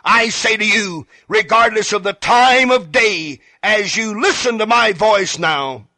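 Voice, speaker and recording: A man's voice: high voice, unhurried, bright, forceful tone, no room sound. A man preaching a fervent evangelistic radio sermon, emphatic and repetitive, speaking straight to the listener. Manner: emphatic, repeats phrases, addresses the listener directly.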